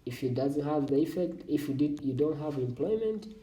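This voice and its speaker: Tanzanian man, dark, roomy and distant. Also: on the high side, lively intonation. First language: Swahili. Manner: halting, self-correcting explanation. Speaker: scholarship tutorial host. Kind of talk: screen-recording walkthrough.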